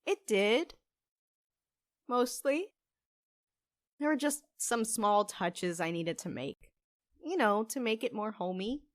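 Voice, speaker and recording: A woman's voice: fairly high pitch, expressive, slow pace, long pauses, dry recording. A woman playing an earnest, hopeful young witch who runs a cafe, in a conversational audio drama style. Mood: playfully impatient.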